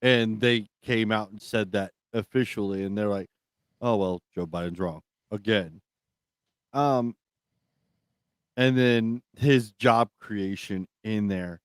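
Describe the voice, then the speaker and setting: A man's voice: fairly low pitch, slow, clear, resonant tone, no room sound, studio quality. A man co-hosting a talk show, chatting casually and giving opinions in a blunt, profane way. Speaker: talk radio co-host.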